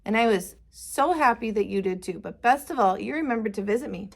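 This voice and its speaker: A woman's voice: a little high, animated, a little fast, vocal fry. A woman voicing a genial, teasing old grandfather elephant in a children's storybook. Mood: fond. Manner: playfully feigning forgetfulness, chuckling warmth.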